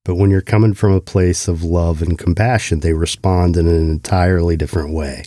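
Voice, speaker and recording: A man hosting an interview, asking calm, thoughtful questions. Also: low-pitched, brisk, dry recording, studio quality. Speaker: podcast host.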